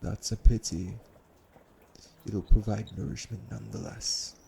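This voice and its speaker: Cold, imperious vampire patriarch played by a man: very gravelly, slow and measured, formal menace for audio drama. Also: fairly low pitch, fairly even intonation, very noisy. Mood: curt, dismissive. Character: cold, commanding elder male vampire brother, menacing. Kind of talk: audio roleplay drama acting.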